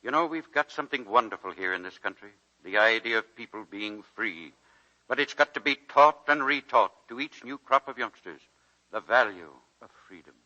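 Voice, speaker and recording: A man's voice: lowish voice, expressive, moderate pace, bright, forceful tone, lo-fi sound. An actor playing an idealistic old male college president, earnest and folksy, in a 1940s radio drama. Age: older.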